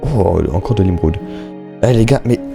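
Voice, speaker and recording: A man's voice: on the low side, lively intonation, moderate pace, gravelly, mellow, faint music behind. A young man giving casual, slangy Minecraft let's-play commentary, chatting and thinking aloud as he plays. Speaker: gaming YouTuber.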